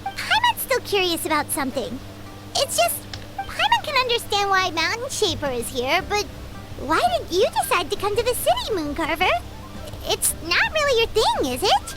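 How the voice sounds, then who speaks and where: Very high squeaky fairy companion girl. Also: normal speed, full character voice. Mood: curious. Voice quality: squeaky, childlike. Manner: brash, excitable, speaks of herself by name. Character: small, cheeky, talkative floating fairy companion girl. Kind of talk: English video game dub acting. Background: loud background music.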